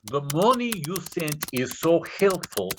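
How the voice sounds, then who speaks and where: An actor playing a desperate Russian scammer in staged readers theater, speaking halting broken English with a Russian accent. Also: male voice, fairly high pitch, very animated, noisy background. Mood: pleading, ingratiating. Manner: halting broken English, muttering asides to himself. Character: desperate Russian male scammer posing as Nigerian suitor.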